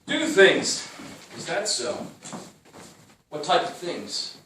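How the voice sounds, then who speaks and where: An actor playing a world-weary, wisecracking 1930s private detective in a noir radio play, musing and wry. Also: male voice, a little high, wildly swooping pitch, slow, noisy background, full character voice. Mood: guarded, wry. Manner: wry, hard-boiled, musing. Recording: roomy, distant, music underneath.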